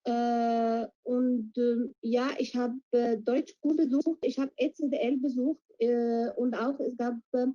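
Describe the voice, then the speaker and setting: A woman speaks in a fairly high but very mellow, dark-toned voice. She searches for words and fills her pauses with hesitations. The audio is dull and lo-fi, like an online call. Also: fairly even intonation. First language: Arabic. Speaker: project participant. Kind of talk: interview answers in learner German. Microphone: video call.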